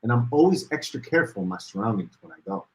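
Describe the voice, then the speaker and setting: A man co-hosting a casual podcast livestream, reading out viewer comments and getting animated as he talks. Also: fast, rough recording. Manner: animated, rises in pitch when worked up. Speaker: podcast co-host.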